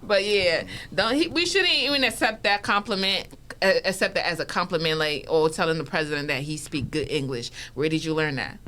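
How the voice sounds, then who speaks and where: A woman on a casual studio panel who talks in an animated way, laughs often and acts out the remarks she quotes. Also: low-pitched, brisk, few pauses, a little hiss or hum. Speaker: panel co-host. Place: community media studio.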